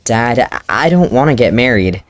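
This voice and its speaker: A man's voice: medium pitch, expressive, normal speed, no room sound. A man doing a dramatic reading of his old fanfiction, breaking off into amused, self-mocking commentary and laughter.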